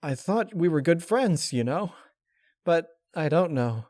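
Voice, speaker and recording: Male reader doing a boyish young dragon, earnest, hesitant, raised pitch, storybook character, dry sound. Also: animated, measured pace, darkish tone, dry recording. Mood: dejected.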